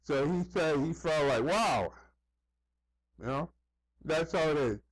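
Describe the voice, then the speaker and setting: A man with a somewhat higher-pitched voice speaks in a loose, conversational monologue. He circles back over his phrases, drops in "you know" as he goes, and voices other people's remarks while telling his stories. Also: animated, slow, low-quality recording. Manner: conversational, repeats himself, often says "you know". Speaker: vlogger. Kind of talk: casual rambling monologue with anecdotes.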